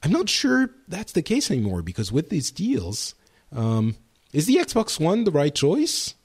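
A man's voice: a little high, wildly swooping pitch, measured pace, breathy edge. A man co-hosting a gaming podcast in casual chat, rambling with many fillers and restarts. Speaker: podcast co-host.

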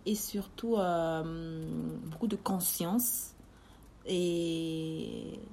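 A woman guest who owns a shop speaks calmly and thoughtfully in short phrases during a casual interview. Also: on the low side, very slow, slight background noise.

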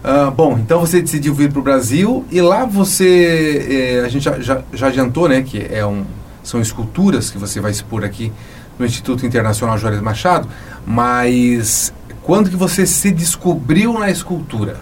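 A man's voice: medium pitch, lively intonation, normal speed, slight background noise. A man with a Brazilian accent interviewing a guest casually as the host of a show.

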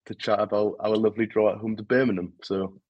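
A man's voice: lowish voice, level tone, fast talker, a little mellow, no room sound, highs cut off. A man with a Geordie accent, co-hosting a casual football podcast, frank and self-deprecating. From Newcastle, England. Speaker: podcast co-host.

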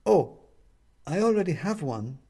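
Male reader as friendly woman, raised pitch, gentle, slightly bashful, audiobook character. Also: sing-song, measured pace, breathy edge, exaggerated voice. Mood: gentle, slightly embarrassed. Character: friendly, slightly embarrassed woman neighbour named Renata.

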